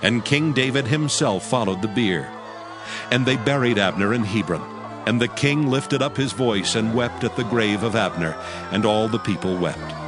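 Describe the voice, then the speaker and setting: A man with a fairly low voice reads scripture in an even, measured way. Clear background music plays under his narration.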